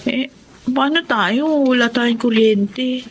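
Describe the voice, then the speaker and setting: A woman's voice: fairly high pitch, slow pace, a little hiss or hum. An actress in a Tagalog radio drama playing an anxious, apologetic young schoolgirl with a Filipino accent. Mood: apologetic, sad.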